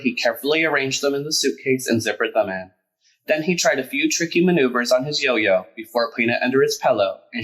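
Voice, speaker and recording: A man reading a children's picture book aloud as a storyteller, in an expressive, deliberate way with emphasized words. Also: mid-range voice, rough recording. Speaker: storyteller, drag performer.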